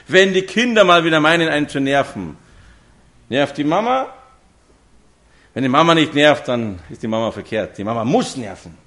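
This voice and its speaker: A man's voice: a little high, fairly bright tone. A man, preaching a sermon to a congregation and telling stories with direct questions to his listeners. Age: 50s.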